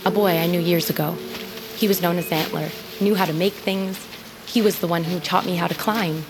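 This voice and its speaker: An actress playing an idealistic, loyal young scavenger in an audio drama, speaking earnestly in old-fashioned fantasy phrasing. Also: female voice, fairly low pitch, steady tone, normal speed, noisy background, close to an everyday voice. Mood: hopeful.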